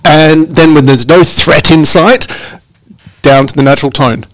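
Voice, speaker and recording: Male co-host, slightly raised pitch, theatrical and playful, dry booth sound. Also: a little slow, dry recording, poor audio. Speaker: radio co-host. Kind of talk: casual radio chat.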